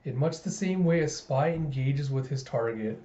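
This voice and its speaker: A man playing a composed, serious father who is secretly a calculating spy, speaking in measured, formal sentences. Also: medium pitch, animated, mellow, echoey room, highs cut off, lightly acted. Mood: earnest.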